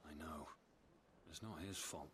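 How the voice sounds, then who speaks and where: A man's voice: rumbling low, measured pace, a bit bright, slightly rough, heavily acted. A man playing a grave but kindly nobleman in a fantasy video game cutscene. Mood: gentle.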